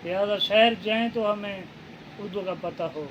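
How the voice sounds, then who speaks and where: A man's voice: high voice, fairly even intonation, moderate pace, lo-fi sound, noisy background. A man from a village in Multan district whose first language is Saraiki, answering interview questions politely and deferentially in short replies. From Multan district, Pakistan, village. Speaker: guest.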